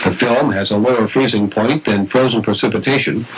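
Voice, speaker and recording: A man with a fairly low voice narrates an instructional film. His delivery is formal and measured, setting out technical points with care. The soundtrack is clearly noisy, like a vintage training reel.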